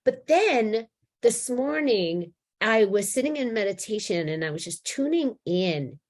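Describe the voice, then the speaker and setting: A slightly high-pitched woman with a hoarse, worn voice talks loosely about spiritual growth. She rambles, restarts her sentences and leans on fillers, then slows down to linger on the words she wants to stress. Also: big pitch swings. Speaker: spiritual teacher. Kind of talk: casual spiritual talk.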